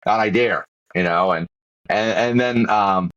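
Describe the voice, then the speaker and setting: Podcast host, male, Boston accent, low voice, loose rambling delivery; dry, dull recording. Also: measured pace, fairly bright tone, a little hiss or hum. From Massachusetts, USA. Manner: rambling, many restarts and repeated words. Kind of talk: casual interview chat.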